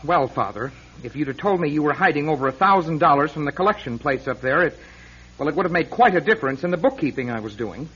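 A man's voice: fairly high pitch, lively intonation, fast, fairly bright tone, slightly rough. A man playing an earnest, plain-spoken priest's assistant in an old-time radio drama, mildly reproachful in manner. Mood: earnest, amazed. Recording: dull old radio recording.

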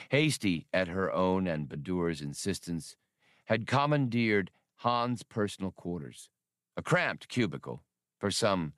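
Low, dry-sounding male narrator. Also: fairly bright tone, no echo. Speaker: audiobook narrator. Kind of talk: scripted fiction narration.

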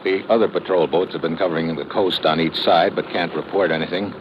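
A man's voice: sits low, fairly even intonation, fairly quick, lightly acted. A man acting a terse, level-headed investigator in an old-time radio drama, clipped and matter-of-fact. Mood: grim, matter-of-fact. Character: terse, level-headed male investigator narrating his own case. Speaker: lead actor and narrator. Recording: noisy, muffled old radio recording.

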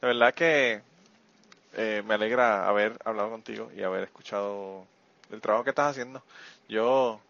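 A man's voice: fairly low pitch, steady tone, normal speed, gravelly, a bit bright, no echo. A man hosting a casual conversation, friendly and given to laughter and rambling asides. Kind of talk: casual interview chat. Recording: muffled lo-fi dry audio.

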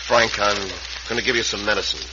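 An actor playing a kindly country doctor in an old radio western, speaking reassuringly. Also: male voice, on the low side, fairly even intonation, fairly quick, natural voice. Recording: hissy, dull vintage radio recording.

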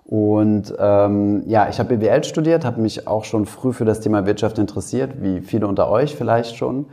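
A man's voice: on the low side, moderate pace, dark tone. A young man introducing himself on a school panel, talking casually and hesitantly with many fillers. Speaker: panelist, finance YouTuber.

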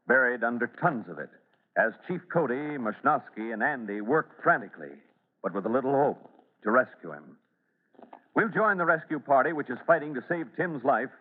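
A man's voice: mid-range voice, expressive, normal speed, narrow, tinny audio. A man narrating an old-time radio serial as announcer, in a dramatic, emphatic style. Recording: thin old radio recording.

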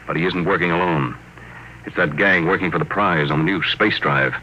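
Deep, commanding radio drama boss. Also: male voice, bright, forceful tone. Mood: grim, brisk. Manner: brisk, probing, authoritative. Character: shrewd, commanding male boss, cool and fearless. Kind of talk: old radio drama acting. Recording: faintly noisy old radio broadcast.

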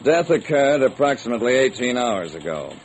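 A man's voice: mid-range voice, big pitch swings, fairly quick. An actor playing a hard-boiled private detective, narrating his case with dramatic, wry deliberation in old-time radio style. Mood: brisk, businesslike. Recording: noisy old radio recording.

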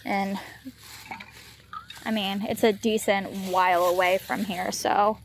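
A woman's voice: mid-range voice, level tone, takes it slowly, a little hiss or hum, poor audio. An American woman talking casually outdoors as she hosts a ghost hunt. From United States. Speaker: paranormal investigator and host. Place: outdoors in a park.

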